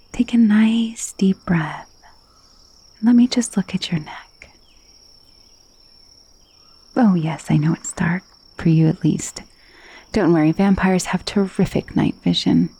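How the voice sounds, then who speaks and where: A woman voicing a gentle, doting female vampire in an ASMR roleplay, speaking in a soft, intimate, soothing way that often drops toward a whisper. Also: fairly low pitch, gravelly, husky, full character voice. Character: gentle, coaxing female vampire mistress of a mansion.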